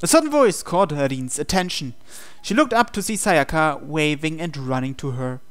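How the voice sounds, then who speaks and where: A man narrates a visual novel playthrough, reading the script aloud. His voice is clean and smooth and sits slightly higher than most men's. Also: expressive, normal speed, a bit bright. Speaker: playthrough host reading game text.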